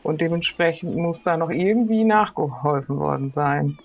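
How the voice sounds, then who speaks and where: A woman's voice: low voice, normal speed, gravelly, lo-fi sound. A woman playing an improvised role-playing game in casual chat, thinking aloud hesitantly.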